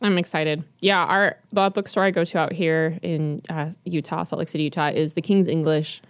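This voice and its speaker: A woman with a lowish voice co-hosts a podcast in relaxed, rambling chat, full of fillers and restarts. The sound is dry and close. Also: moderate pace, no room sound. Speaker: podcast co-host. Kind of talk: casual chat about books.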